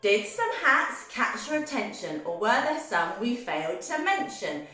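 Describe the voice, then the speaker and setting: A woman's voice: a little high, sing-song, a little slow, pushed and bright, soft background music. A woman reading a rhyming children's picture book aloud. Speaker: storybook reader. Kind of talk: scripted children's rhyme read aloud. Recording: very echoey roomy sound.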